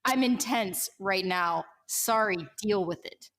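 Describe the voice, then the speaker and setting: A woman's voice: mid-range voice, takes it slowly, fairly bright tone. A woman playing a bitter, hard-drinking rebel in a tabletop space game, intense, grumbling and defiant.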